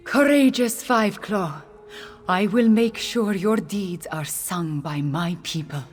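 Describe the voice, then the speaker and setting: An actress voicing a young catfolk queen in a video game, speaking in a measured, earnest and formal way, regal and grateful. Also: mid-range voice, faint music behind, lightly acted. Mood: solemn, proud. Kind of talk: scripted video game voice acting.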